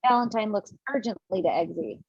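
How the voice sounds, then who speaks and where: A woman's voice: mid-range voice, expressive, brisk, slightly rough, no echo, dull audio. A woman reading stage directions aloud in an amateur online table read, casual and chatty, with asides and corrections.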